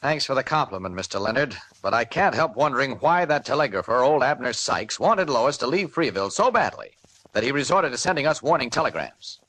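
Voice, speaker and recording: An actor playing an earnest, persistent newspaper reporter in a radio serial, probing and skeptical. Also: male voice, medium pitch, expressive, a little fast, a bit bright, poor audio. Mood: worried, insistent. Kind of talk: 1940s radio serial acting. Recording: old radio recording, faint noise, clicks.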